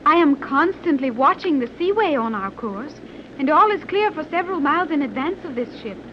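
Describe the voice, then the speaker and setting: A woman's voice: high voice, lively intonation, a little fast. A coolly confident young woman from a secret advanced island pilots her submarine. Her phrasing is formal and precise, in 1930s serial style, on a dull old recording with light hiss. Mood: calm, assured. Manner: formal, precise, stilted phrasing without contractions. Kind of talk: 1930s radio serial acting.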